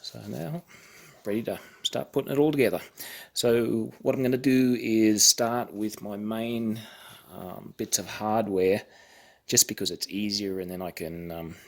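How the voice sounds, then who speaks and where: A man giving casual, unscripted how-to commentary as a hobbyist at work on a build, halting and thinking aloud as he goes. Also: fairly low pitch, fairly even intonation, vocal fry. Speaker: hobbyist narrating an electronics kit build.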